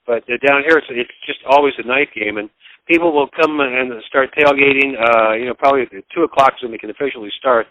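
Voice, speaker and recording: A man giving casual sports commentary as an interviewed broadcaster, in a halting, rambling, folksy way. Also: medium pitch, dry recording, highs cut off.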